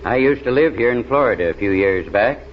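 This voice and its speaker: A man's voice: mid-range voice, lively intonation, brisk, natural voice. Folksy backwoods adventurer played by a man, drawl, plain-spoken yarn-spinner, dull hissy vintage radio. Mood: recounting adventures. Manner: drawling, folksy, ungrammatical speech. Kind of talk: 1930s radio serial acting. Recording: dull, noisy old radio recording.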